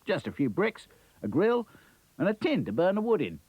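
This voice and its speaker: A bouncy Aussie kangaroo. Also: male voice, high voice, wildly swooping pitch, moderate pace, breathy edge, lo-fi sound, voice pushed up, exaggerated voice. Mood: chirpy. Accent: Australian. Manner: boisterous, hearty exclamations. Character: bouncy cheery male kangaroo toy. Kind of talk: storybook character voice.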